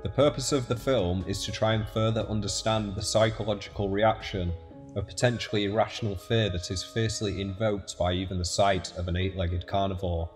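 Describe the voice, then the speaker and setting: Male narrator, lowish pitch, scripted documentary read over faint music.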